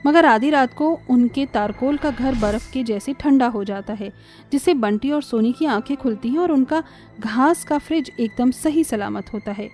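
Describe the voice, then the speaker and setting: A woman reading scripted narration for an animated story as the storyteller. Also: fairly high pitch, moderate pace, soft background music.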